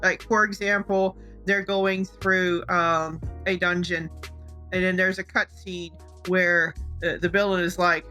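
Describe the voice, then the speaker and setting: A woman's voice: fairly low pitch, little pitch movement, measured pace, sharp tone. A woman co-hosting a casual advice talk, speaking informally and conversationally. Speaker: co-host of a game-making show. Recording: music behind the voice. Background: background music.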